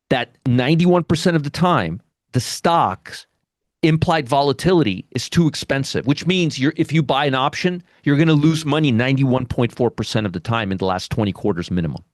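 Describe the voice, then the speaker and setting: A man's voice: mid-range voice, no room sound, clean studio sound. A man hosting a live trading webinar, teaching options and often checking in with "you understand?" as he repeats his points. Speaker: options trading educator, show host.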